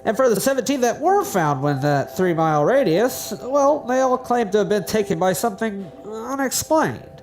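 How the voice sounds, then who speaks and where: An actress playing an earnest, persistent science reporter in an audio drama, questioning insistently. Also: female voice, medium pitch, lively intonation, moderate pace, few pauses, a little mellow, soft background music, close to an everyday voice. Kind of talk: scripted audio drama acting.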